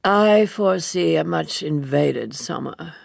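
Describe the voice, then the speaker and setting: A woman voicing a dignified older gentleman author in an audiobook, wry, ceremonious and deliberate. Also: low voice, lively intonation, vocal fry, no echo, clean studio sound, heavily acted. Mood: wry formality. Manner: ponderous, formal, deliberate.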